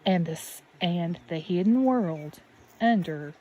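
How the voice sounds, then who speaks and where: A woman teacher reading a picture book aloud to children, deliberate and expressive, with questions and asides to her listeners. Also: lowish voice, lo-fi sound. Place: outdoors beside a natural pond. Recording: steady outdoor background noise. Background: birds and outdoor ambience.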